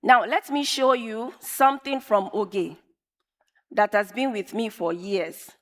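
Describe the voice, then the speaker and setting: Woman speaker, Yoruba first language, higher voice, measured emphatic delivery, long hall reverb. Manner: measured, emphatic, repeats phrases for effect. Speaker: conference speaker. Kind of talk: technical conference talk. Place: large conference hall.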